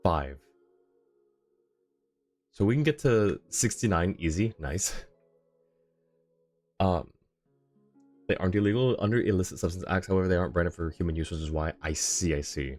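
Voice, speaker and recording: A man with a low voice talks casually as a game streamer. He is easygoing and often thinks aloud, with faint music in the background. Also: normal speed, pauses often, a little mellow, no echo. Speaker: game livestreamer. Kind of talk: casual livestream chat.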